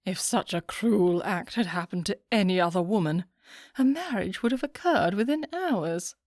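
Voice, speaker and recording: A woman voicing a fussy, gushing older society hostess who talks in a simpering, excitable way. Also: moderate pace, no room sound, clean studio sound, lightly acted. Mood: insistent. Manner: simpering, excitable, high-pitched.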